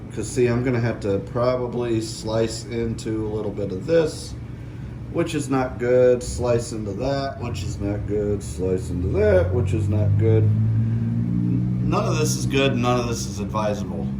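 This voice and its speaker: A man hosting a casual hands-on DIY vlog, thinking aloud in a halting, self-deprecating, crude way. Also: mid-range voice. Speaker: host of a DIY truck modification vlog. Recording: clear background noise and ambience.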